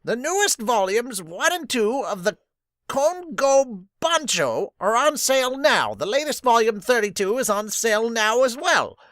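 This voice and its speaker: A man putting on an overly cheery male ad announcer, reading a slow, exaggerated sales pitch. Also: sing-song, fairly bright tone, no room sound, exaggerated voice.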